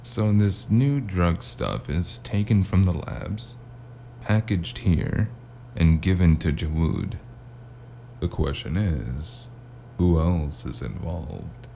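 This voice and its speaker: A man playing a wry, weary hard-boiled investigator who narrates and talks in a cyberpunk noir audio drama, with a deep gravelly voice. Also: slow, mellow, a little hiss or hum, exaggerated voice. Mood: musing, wry. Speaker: narrator and lead character.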